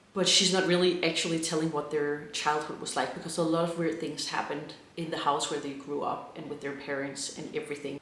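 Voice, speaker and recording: A man's voice: fairly high pitch, sharp tone. A man from Denmark with a Danish accent, chatting enthusiastically as he reviews books in a casual vlog. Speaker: book vlogger. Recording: echoey room with faint ambient noise.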